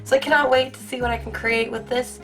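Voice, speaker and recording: A young woman hosting an art-supply unboxing video, hoarse from a cold and gushing with excitement in a casual, rambling way. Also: on the high side, fast talker, soft background music. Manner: bubbly, gushing, filler-heavy. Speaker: art channel host.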